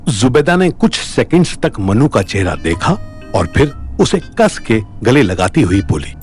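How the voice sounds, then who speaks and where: A man's voice: fairly low pitch, sing-song, unhurried, faint music behind. A man narrating an audio story in a dramatic, scripted style.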